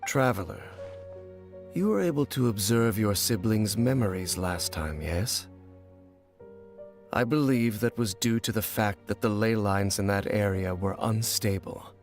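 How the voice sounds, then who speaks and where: A grave, solemn game wanderer. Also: male voice, on the low side, soft background music. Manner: solemn, measured, formal. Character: grave, mysterious male wanderer, guarded and solemn.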